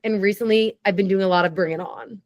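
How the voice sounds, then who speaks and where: Young woman, raspy low voice, vocal fry, chatty, eager, mid pitch, dry close sound. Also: no echo. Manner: chatty and enthusiastic, quick tangents. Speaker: podcast guest. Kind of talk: casual chat.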